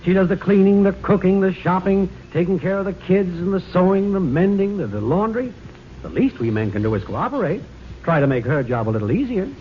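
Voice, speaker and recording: An actor playing a pushy, pompous, cajoling neighbour in a 1940s radio comedy. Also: male voice, high voice, lively intonation, a little fast. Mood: earnest, pompous. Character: pushy, blustering married neighbour man, self-righteous and comic. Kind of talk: 1940s radio sitcom acting. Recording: muffled, hissy old radio recording.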